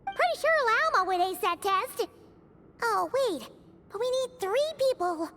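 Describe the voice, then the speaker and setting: A squeaky, excitable fairy girl chatters in a very high, wildly swooping voice. She is a small floating game companion who gets worked up fast and calls herself by name. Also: music in the background. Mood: chirpy. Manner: excitable, talks about herself in third person. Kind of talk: video game cutscene voice acting.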